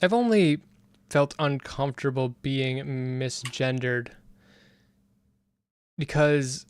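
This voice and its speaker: A man's voice: medium pitch, lively intonation, slow, clear, resonant tone. A man in a casual discussion, speaking bluntly and stating firm opinions. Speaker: discussion guest. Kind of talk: casual debate chat.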